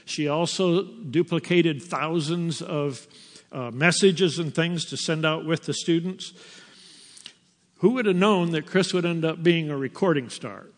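An older man speaking in a slightly high voice, sharing his missionary life in an unhurried storytelling way. His words linger in the long reverb of a large church hall. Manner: slow, unhurried storytelling with pauses. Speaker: missionary teacher. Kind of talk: personal testimony to a church. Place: church sanctuary.

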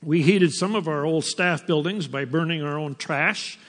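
An older man speaking in a slightly high voice, sharing his missionary life in an unhurried storytelling way. His words linger in the long reverb of a large church hall. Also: a bit bright. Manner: slow, unhurried storytelling with pauses. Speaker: missionary teacher. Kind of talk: personal testimony to a church. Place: church sanctuary.

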